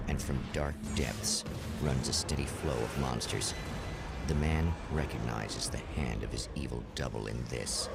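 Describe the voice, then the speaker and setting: An actor playing a solemn, portentous narrator of an eerie TV anthology show, speaking in a gravelly voice with deliberate phrasing. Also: male voice, extremely low pitch, heavy background noise, heavily acted. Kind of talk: ominous scripted narration in the manner of a vintage anthology TV show. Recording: loud music under the voice. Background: loud music.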